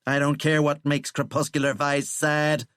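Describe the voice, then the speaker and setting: A man's voice: fairly low pitch, level tone, brisk, fairly bright tone, smooth, no echo, studio quality, close to an everyday voice. A man voicing a furious, petulant, self-important American president in an audiobook, blustering and defensive. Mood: defiant. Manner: blustering, defensive, prone to screeching. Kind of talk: audiobook character acting.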